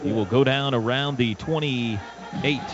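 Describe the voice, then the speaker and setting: An American man calling live football play-by-play on radio in clipped, excitable bursts, recorded in lo-fi broadcast sound. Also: medium pitch, music in the background. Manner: excitable, clipped rapid-fire calls. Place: stadium radio booth. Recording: dull lo-fi broadcast with loud stadium noise. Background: loud stadium ambience.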